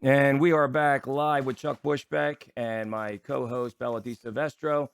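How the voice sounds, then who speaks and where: A man's voice: mid-range voice, steady tone, a little slow, gravelly, over loud music. A man hosting a sports talk show in casual interview chat, chatty and often stumbling over and repeating his words.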